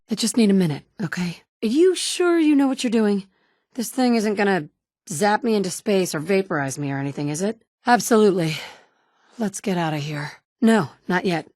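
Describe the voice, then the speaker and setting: A woman's voice: medium pitch, darkish tone, dry recording, natural voice. An actress voicing a resolute woman survivor in a video game, a mother searching a wasteland, terse and commanding in a dry studio recording. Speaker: player character.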